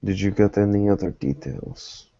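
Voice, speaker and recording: A man playing a wary, probing private investigator in a tabletop roleplay, terse and deliberate in manner. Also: fairly low pitch, vocal fry, warm tone, dry recording, muffled recording. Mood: measured, guarded.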